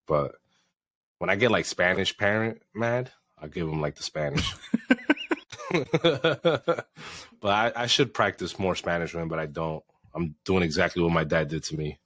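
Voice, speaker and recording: A young father co-hosting a podcast in casual chat, swinging from low muttering to sudden shouts and swearing a lot. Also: unhurried, dry recording. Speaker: podcast co-host.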